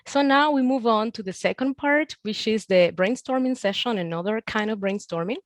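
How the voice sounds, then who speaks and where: A woman's voice: a little high, normal speed, dry recording. Female host of an online meetup, encouraging and affirming, close dry sound. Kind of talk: facilitating a group meeting.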